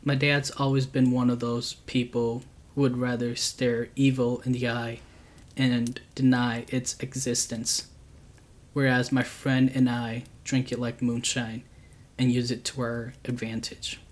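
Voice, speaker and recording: A man calmly reading true scary stories aloud as a channel host, with a steady, unhurried delivery. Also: medium pitch.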